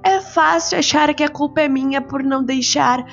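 Storybook mother: soft, patient, poetic, raised and tender in tone, played by a woman narrator. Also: high-pitched, moderate pace, gravelly, pitched above the performer's own voice. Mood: wistful. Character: gentle, patient mother, wistful. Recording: slightly muffled with soft music.

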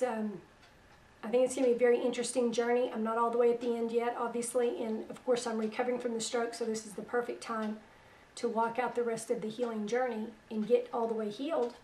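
A middle-aged woman hosting religious internet radio. Her voice is weak after a stroke, and she talks in a soft, plain-spoken, unhurried way. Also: fairly high pitch, echoey room, recorded from a distance, slight background noise, low-quality recording. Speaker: radio host. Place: small room at home, indoors. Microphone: headset.

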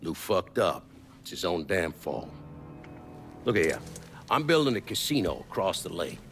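Deep-voiced, brooding mob boss. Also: male voice, big pitch swings, measured pace, fairly bright tone, faint music behind, full character voice. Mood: bitter, brooding. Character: gruff, weary, foul-mouthed middle-aged male mob boss. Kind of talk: dramatic video game acting.

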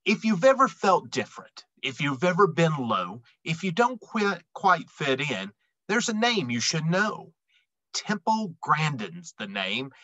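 A middle-aged man reading a children's picture book aloud expressively, with emphatic, dramatic phrasing and deliberate pauses. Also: fairly high pitch, very animated, a bit bright, no echo, lo-fi sound. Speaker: read-aloud storyteller.

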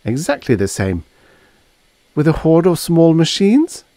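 A man's voice: fairly high pitch, huge pitch swings, measured pace, dark tone, no echo, voice pushed up, heavily acted. A man voicing a proud, guarded foreign woman scientist in a story, with a clipped, insistent manner.

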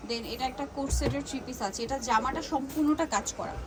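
A woman's voice: high voice, quick pace, a loud crowd. A woman showcasing products on camera, repeating details and prompting a seller with questions. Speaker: presenter of a clothing shopping guide video. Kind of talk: live product showcase commentary. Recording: clearly noisy busy shop ambience.

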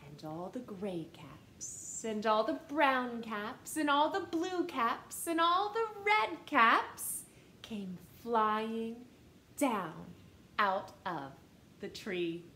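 A woman in her thirties reading a picture book aloud to children in an expressive, gentle way, seated on a couch at home. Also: a little high, very slow. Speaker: storytime reader. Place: living room, seated on a couch. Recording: echoey room with faint hiss.

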